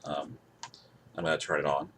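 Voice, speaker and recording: Low-voiced man rambling through a gadget demo. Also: little pitch movement, normal speed, a bit bright. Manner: repeats himself, rambling, emphatic. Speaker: gadget reviewer. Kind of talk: casual product demonstration to camera. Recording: clear background noise.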